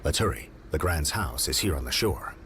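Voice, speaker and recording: A man playing a composed gentleman detective in an adventure game, speaking in a formal, measured, courteous way. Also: very deep voice, animated, a little hiss or hum. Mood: composed, purposeful. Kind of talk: scripted adventure game dialogue.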